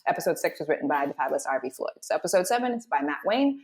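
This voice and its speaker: A woman's voice: low-pitched, expressive, rapid-fire, darkish tone. A woman hosting as a voice director, explaining scenes and reading out stage directions. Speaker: host and voice director.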